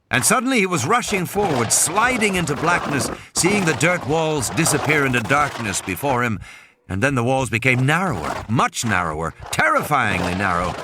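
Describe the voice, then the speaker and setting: A man's voice: medium pitch, background noise. A man reading a novel as dramatic scripted narration, with background sound behind him. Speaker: audiobook narrator. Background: ambient sound effects.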